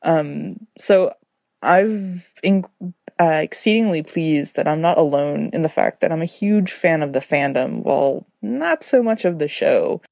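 Creaky, hesitant woman, very dry sound. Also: low-pitched, expressive, measured pace, a little mellow, dry recording. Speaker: listener giving feedback. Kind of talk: informal spoken commentary.

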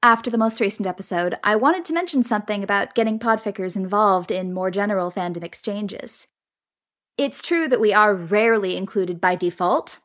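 A woman's voice: medium pitch, a bit bright. A woman listener delivering a prepared recorded comment for a podcast.

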